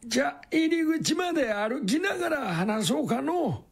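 An actor playing a kindly, chatty old distillery worker in a radio drama, with a husky, creaky voice and folksy old-fashioned speech. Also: male voice, very high voice, sing-song, measured pace, heavily acted. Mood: proud, animated. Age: older. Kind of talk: Japanese radio drama acting.

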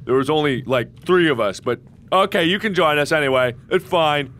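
A man with a hoarse voice giving jokey let's-play commentary into a headset, with sudden excited yelps. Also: fairly high pitch, moderate pace. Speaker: gaming video host.

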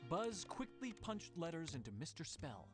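Animated high-pitched male storybook narrator. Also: normal speed, music in the background. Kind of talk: scripted children's storybook narration.

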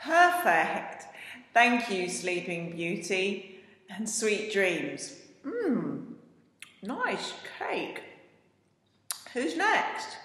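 A woman's voice: medium pitch, sing-song, extremely slow, pauses often, a bit bright, exaggerated voice. A middle-aged woman playing a cheerful pantomime narrator with theatrical, scripted presenting. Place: indoor room, virtual curtain backdrop. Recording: echoey room with long reverb.

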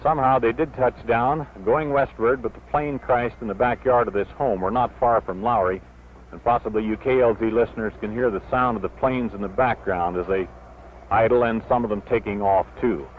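A man's voice: medium pitch, fairly even intonation, fairly quick. An American man giving an on-the-spot radio news report from outdoors. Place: outdoors near an airfield. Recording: tinny field radio, faint plane noise.

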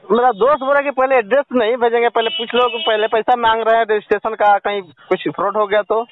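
High-voiced young man, hesitant, on the phone. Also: fairly even intonation, quick pace, no room sound. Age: young adult. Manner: hesitant, questioning. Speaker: caller selling an old coin. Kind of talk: casual phone conversation. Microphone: telephone. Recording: tinny, dry phone call recording.

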